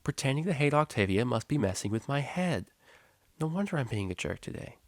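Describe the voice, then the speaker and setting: Man as a blunt, moody unicorn girl, raised pitch. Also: very animated, brisk, no room sound. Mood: self-reproach. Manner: curt, slangy. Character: moody young female unicorn DJ student, blunt, defensive.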